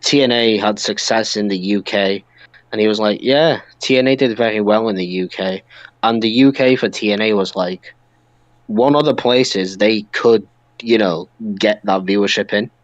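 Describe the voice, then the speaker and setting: A man's voice: fairly low pitch, normal speed, dry recording, highs cut off. A British man chatting casually and with strong opinions as co-host of a wrestling podcast. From United Kingdom.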